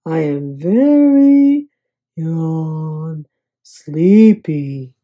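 A woman's voice: low-pitched, expressive, drawn-out words, narrow, tinny audio, voice pushed down, full character voice. A woman voicing a grumpy, sleepy, demanding man staying at a hotel, grumbling and fuming in a storybook reading. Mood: weary, grumbling.

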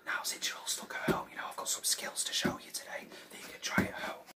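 A man's voice: fast talker, natural voice. A young man playing a boastful amateur footballer, whispering in ASMR style for a comedy sketch.

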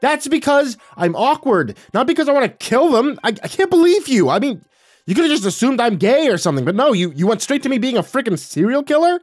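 Man voicing exasperated adult son, defensive, evasive, sarcastic, widely swinging pitch, audio story with music. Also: animated, fairly quick, a little mellow, dry recording, natural voice. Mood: indignant. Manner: defensive, stammering when pressed.

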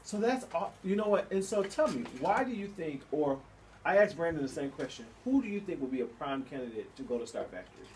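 An animated man hosts a radio show, gushing over his guests and laughing a lot. He sits a little back from the microphone, so his voice sounds distant. A clear layer of noise runs under him. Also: a little high, a little fast. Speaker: radio host. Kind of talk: enthusiastic interview hosting. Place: small radio studio. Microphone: studio boom mic. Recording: distant mic with audible noise.

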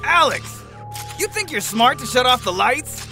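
A man's voice: very high voice, sing-song, a little slow, faint music behind, exaggerated voice. A man playing a greedy, volatile scientist villain in an audio drama. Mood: menacing, scornful. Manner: volatile, sneering, swings from menace to shouting. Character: greedy, threatening male scientist turned desperate villain. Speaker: voice actor.

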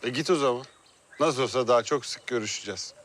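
Actor playing a self-assured middle-aged boss. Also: male voice, medium pitch, fast talker, fairly bright tone, slight background noise, natural voice. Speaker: wealthy hotel owner in a TV drama.

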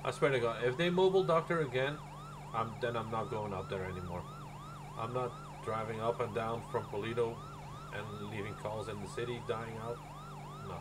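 A man chatting casually in a game roleplay, blunt and quick to swear. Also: mid-range voice, steady tone, background noise. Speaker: streamer and roleplayer.

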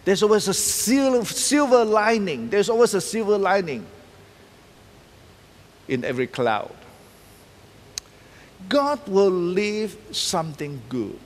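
Animated pastor preaching in a reverberant hall. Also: male voice, high-pitched, takes it slowly, pauses often, a little hiss or hum. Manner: emphatic, repeats key words, pacing and gesturing widely. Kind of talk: sermon. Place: large church stage decorated for Christmas.

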